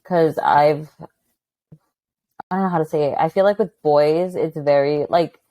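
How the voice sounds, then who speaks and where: A woman guest who is a high school teacher, chatting loudly and animatedly in a casual interview. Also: unhurried, no echo. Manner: loud, chatty, animated, many "like" fillers.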